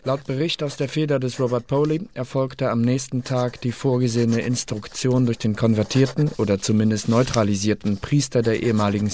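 An actor playing an officious secret service clerk who reads out reports in a formal, clipped way in a German radio drama. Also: male voice, medium pitch, a little mellow, slight background noise, natural voice.

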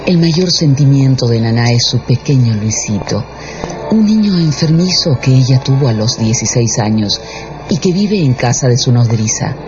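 A male narrator tells a scripted story in a dramatic radio style. His voice is medium in pitch. Music plays clearly underneath, and the recording sounds slightly muffled. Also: expressive, normal speed.

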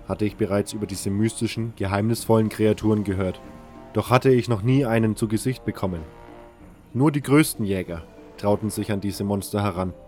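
Male narrator, fairly low pitch, scripted fantasy storytelling, music underneath. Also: a little mellow. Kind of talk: scripted first-person fantasy audiobook narration.

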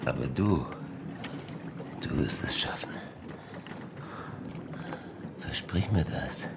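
The last man in a radio-play lifeboat, whispering weakly in a slow gravelly rumble as the sea sloshes loudly. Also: flat delivery. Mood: resigned, pleading. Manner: weak, halting, whispery. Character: dying, starving male navy lieutenant adrift at sea. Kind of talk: radio drama acting. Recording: loud sea and boat noise.

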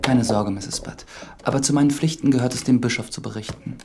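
A man's voice: medium pitch, brisk, background noise, natural voice. Formal, probing priest played by a man. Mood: polite, probing. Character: earnest young priest, dutiful, probing church investigator. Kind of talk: German radio drama acting.

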